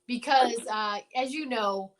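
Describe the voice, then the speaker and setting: A woman's voice: on the high side, takes it slowly. A woman co-hosting a show in casual interview chat, with an effusive, encouraging manner. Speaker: show co-host.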